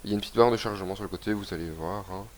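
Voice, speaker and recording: A man's voice: fairly low pitch, very fast. A young man with a cold giving rambling, casual commentary while playing a video game and reading its text aloud. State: has a cold, congested. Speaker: gaming video host. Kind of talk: casual let's-play commentary.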